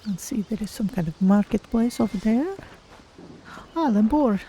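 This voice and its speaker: A gentle, courteous cat-folk adventurer as a streamer plays it, thinking aloud in a fantasy game. The voice is mellow with a creaky edge, over clear ambient noise. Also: medium pitch, expressive, natural voice. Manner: unhurried, musing, courteous. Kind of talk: in-character video game stream narration.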